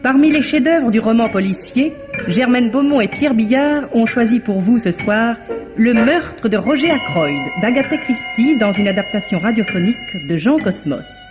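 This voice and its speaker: A woman making a formal, deliberate scripted announcement as a 1950s radio programme announcer. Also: a little high, lively intonation. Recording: dull lo-fi vintage radio recording. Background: music.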